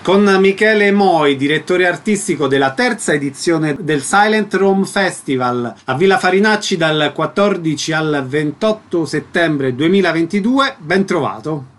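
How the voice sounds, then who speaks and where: Male radio host for interviews, voice a little on the high side. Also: lively intonation, unhurried, hardly any pauses. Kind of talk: broadcast interview.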